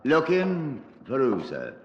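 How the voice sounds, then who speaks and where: Casting: courteous London desk sergeant, British, lively intonation, reverberant radio drama sound. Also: male voice, mid-range voice, slow, noisy background. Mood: brisk, polite. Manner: formal, deferential. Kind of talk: 1950s radio drama acting. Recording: dull old radio, echoing corridor.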